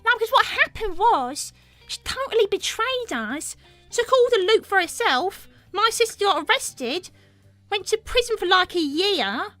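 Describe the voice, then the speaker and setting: A woman's voice: very high-pitched, big pitch swings, a little slow, fairly bright tone, no echo, faint music behind, exaggerated voice. A woman voicing a brash, gossipy young cartoon animal girl with a British accent, cheeky and exclamatory in improvised chat. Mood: gossipy, awed. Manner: cheeky, exclamatory, swearing mildly. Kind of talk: improvised in-character livestream chat.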